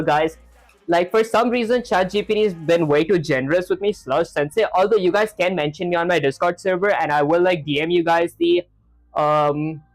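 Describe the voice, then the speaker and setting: A man's voice: sits high, low-quality recording. A young man live-streaming his programming practice, talking casually and rambling with lots of filler words while he reads chat. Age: young adult.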